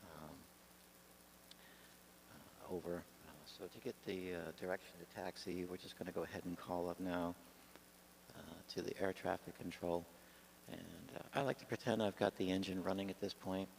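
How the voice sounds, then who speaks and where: A man giving an informal, halting lesson as he demonstrates pilot procedures, speaking in an improvised way. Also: low voice, flat delivery, a little mellow, rough recording. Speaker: pilot demonstrating radio practice. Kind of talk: informal instructional talk. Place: garage. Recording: faint background noise.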